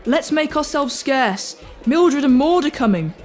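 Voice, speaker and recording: Music plays behind a woman acting a cheeky young pantomime sidekick. The voice is fairly high and expressive, with a brisk, sardonic edge.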